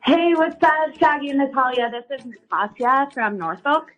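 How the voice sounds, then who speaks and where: A woman plays a suspicious, bewildered girlfriend phoning a radio show. Her voice is high and she rambles in casual, filler-heavy chat over a music bed. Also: expressive, normal speed, fairly bright tone. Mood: chatty.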